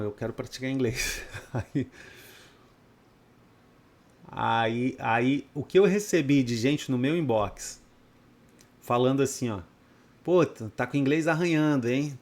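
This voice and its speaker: A man's voice: mid-range voice, darkish tone. Blunt, cursing man lecturing. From Brazil. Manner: blunt and profane, rhetorical questions. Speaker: trading course instructor. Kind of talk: unscripted lecture to students.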